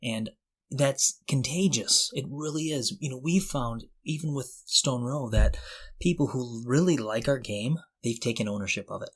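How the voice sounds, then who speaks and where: A man with a medium-pitched voice talks as a podcast co-host. His delivery is conversational and informal, with an earnest, enthusiastic way of explaining what he thinks. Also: normal speed, slightly rough. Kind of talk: casual conversation.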